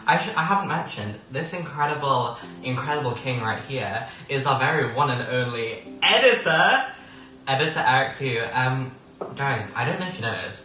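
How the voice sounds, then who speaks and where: A sixteen-year-old man hosts a casual podcast chat at a table, joking, rambling and laughing as he goes. His voice sits back from the microphone in an echoey room. A steady background noise runs underneath. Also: mid-range voice. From England. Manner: playful, laughs often, rambling. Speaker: podcast host. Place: indoors at a table. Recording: roomy sound from a distance with background noise.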